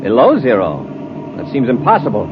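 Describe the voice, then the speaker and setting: A commanding space patrol leader from a 1950s radio serial. He fires off clipped, authoritative orders at a quick pace in a mid-pitched male voice, on a dull and clearly noisy old recording. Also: wildly swooping pitch.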